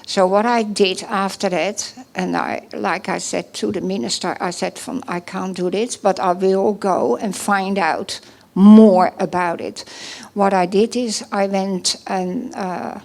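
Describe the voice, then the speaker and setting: Slow, gravelly Dutch-accented woman. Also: mid-range voice, fairly even intonation, a little mellow. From Netherlands. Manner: slow, halting, with many pauses and restarts. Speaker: church member giving testimony. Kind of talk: personal testimony at a church service.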